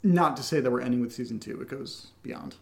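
A man's voice: medium pitch, a little fast, gravelly. A man co-hosting a podcast in casual chat, answering listener questions in a playful, opinionated way with short, punchy verdicts. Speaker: podcast co-host and creator.

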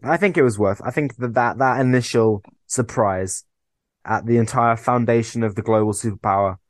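A man's voice: medium pitch, moderate pace, no room sound. A young man co-hosting a podcast, bantering in a teasing, mock-indignant way. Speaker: podcast co-host. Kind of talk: casual chat.

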